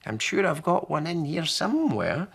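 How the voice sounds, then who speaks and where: A man's voice: a little high, big pitch swings, moderate pace, vocal fry, fairly bright tone, breathy edge, voice pushed up, full character voice. A man voicing a kindly, cheerful old Scottish granny for a storybook, with an affectionate sing-song manner. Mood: brisk, cheerful.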